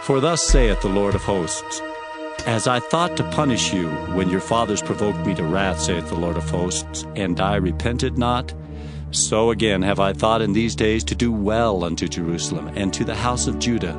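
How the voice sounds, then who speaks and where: A man's voice: on the low side, normal speed. A man narrating scripture dramatically in a solemn, declamatory manner. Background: music.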